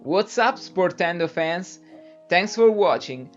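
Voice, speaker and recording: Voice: medium pitch, animated, slow pace. A narrator reading a scripted voice-over for a sports video. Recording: dull muffled sound, music bed.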